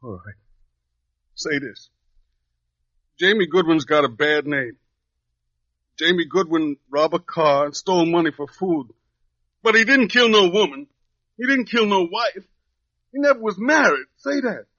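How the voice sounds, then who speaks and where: An actor playing a wrongly jailed young drifter in a radio drama, with plain folksy, uneducated speech, pleading and resigned. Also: male voice, on the high side, expressive, a little slow, long pauses, bright, forceful tone, no room sound, full character voice. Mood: earnest. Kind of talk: 1950s radio drama acting. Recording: dull lo-fi old radio recording.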